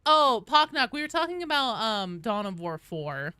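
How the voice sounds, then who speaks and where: A woman's voice: on the high side, big pitch swings, moderate pace, pushed and bright, clean tone, no echo. A woman livestreaming a game, chatting casually with viewers as she reads out their comments, swearing freely and reacting playfully. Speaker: game livestreamer reading and answering viewer chat. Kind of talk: casual live stream chat.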